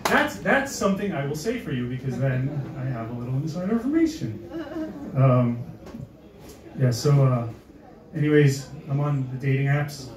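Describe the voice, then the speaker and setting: A man's voice: medium pitch, very animated, normal speed, darkish tone. A man from the area doing a stand-up comedy set, wry and self-mocking, with lots of hesitant fillers. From Boston area, USA. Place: comedy club stage. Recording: echoey club audio from a distance.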